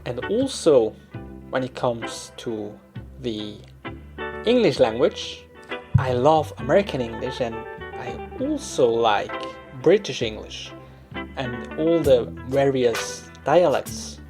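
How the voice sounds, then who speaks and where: A man with a Swiss German accent, a native Swiss German speaker, hosting a podcast in a slow, reflective, self-deprecating monologue. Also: medium pitch, big pitch swings, over music. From Switzerland. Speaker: podcast host.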